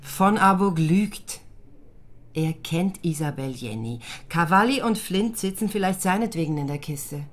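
A woman acting as a blunt, sharp-witted investigator in a crime audio drama. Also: lowish voice, lively intonation, a little slow. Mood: deducing.

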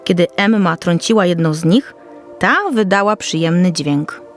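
A woman's voice: lowish voice, normal speed. A woman reading a scripted children's story as narrator, in a careful storytelling manner. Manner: storytelling, descriptive, carefully read. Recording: soft music under the voice.

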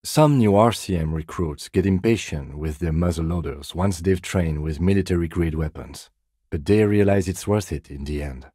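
Very deep, measured deadpan detective. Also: male voice, husky, no echo, clean studio sound. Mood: measured, serious. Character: composed, dry-witted, by-the-book male police detective. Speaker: police detective. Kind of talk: video game character dialogue.

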